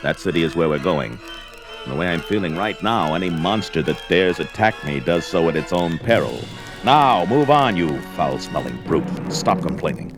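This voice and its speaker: An actor playing a gruff, domineering barbarian hero, bold and commanding, declaiming in archaic 1970s fantasy audio drama style. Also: male voice, low voice, animated, normal speed. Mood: gruff, scornful. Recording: music behind the voice.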